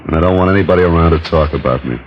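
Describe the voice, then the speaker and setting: Actor as very deep-voiced, smug crime boss. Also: male voice, racing, highs cut off, lightly acted. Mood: smug menace. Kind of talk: old-time radio crime drama acting. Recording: vintage radio recording with faint noise.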